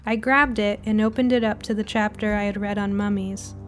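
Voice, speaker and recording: A woman's voice: medium pitch, fairly even intonation, brisk. Woman narrating a spooky story over music. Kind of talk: scripted first-person spooky storytelling. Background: music bed.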